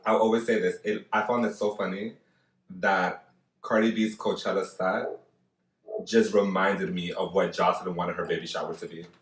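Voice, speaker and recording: A man hosting a podcast, chatting casually in an animated, gossipy way and cursing freely. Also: deep voice, moderate pace, reverberant, recorded from a distance, poor audio. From Dominican family, learned Spanish first. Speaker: podcast host. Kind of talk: casual chat.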